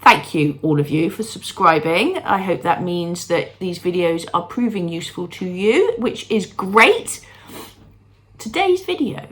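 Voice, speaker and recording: A woman's voice: on the low side, animated, measured pace. A middle-aged woman with a stuffy, congested voice from a cold, chatting cheerfully to viewers in a home vlog. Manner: bubbly, self-deprecating, talks to viewers. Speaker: candle-making vlogger. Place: at home, moving between rooms.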